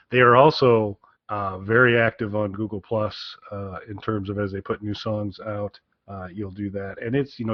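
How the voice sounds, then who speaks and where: A man's voice: mid-range voice, dry recording. Hesitant man, slightly dull dry audio. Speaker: podcast co-host. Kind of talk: casual podcast chat with recommendations.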